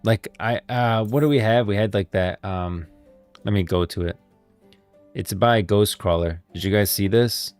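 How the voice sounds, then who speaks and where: A man hosting a casual gaming livestream, chatting informally, thinking aloud in a halting way and repeating phrases. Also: fairly low pitch, darkish tone, clear, resonant tone, faint music behind. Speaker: streamer, host of a gaming lore stream. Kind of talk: casual livestream chat, reading posts aloud.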